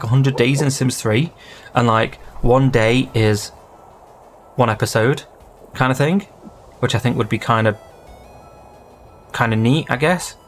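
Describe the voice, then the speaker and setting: Rambling man narrating gameplay. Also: mid-range voice. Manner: rambling, thinking aloud, easily sidetracked. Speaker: gaming channel host. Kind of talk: casual gameplay commentary.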